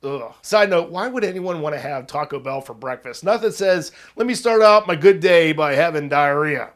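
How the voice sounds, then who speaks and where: A man's voice: a little high, lively intonation, normal speed, a bit bright. A man hosting an online news show, delivering casual, upbeat pop culture stories with emphatic delivery and wry, jokey asides.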